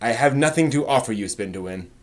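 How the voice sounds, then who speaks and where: A man hosting a casual live stream, replying to viewer comments with thinking pauses and firm, emphatic opinions. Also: mid-range voice, expressive.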